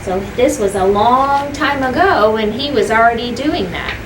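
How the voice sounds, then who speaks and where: High-voiced woman reading aloud to children. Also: animated, moderate pace. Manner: simple explanations, repeats points, asks listeners questions. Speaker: school teacher reading to children. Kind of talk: children's story read-aloud with explanatory asides. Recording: echoey room, distant mic, clear background noise.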